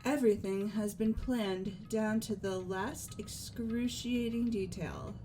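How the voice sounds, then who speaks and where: Woman voicing a schoolgirl, medium-pitched and articulate. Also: fairly even intonation, slow, mellow, soft background music, lightly acted. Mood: grave, analytical. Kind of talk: amateur game voice acting.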